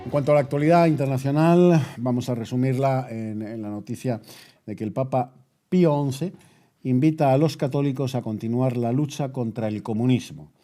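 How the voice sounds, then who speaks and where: A man's voice: mid-range voice, normal speed, warm tone, soft background music. A man with an accent from Spain, presenting news as a bulletin host.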